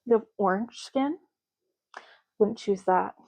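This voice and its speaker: Female ASMR host as intake clerk: relaxed dark tone, mid pitch, matter-of-fact, pausing between short phrases. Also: vocal fry. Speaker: ASMR roleplay host, playing an intake clerk making ID cards. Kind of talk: ASMR roleplay, soft-spoken personal attention.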